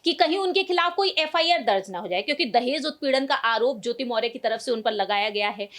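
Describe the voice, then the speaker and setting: A woman with a high-pitched voice speaks as a news co-presenter. She gives running analysis in long, wordy sentences with repeated stock phrases. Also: lively intonation, fast, a bit bright. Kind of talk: news discussion commentary.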